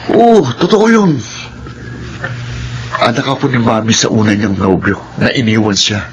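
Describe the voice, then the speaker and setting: Domineering, scheming rich son, noisy recording. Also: male voice, on the low side, sing-song, moderate pace. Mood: cold, bitter. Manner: domineering, threatening. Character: scheming, hot-tempered rich son plotting against his father. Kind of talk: Filipino radio-style melodrama acting.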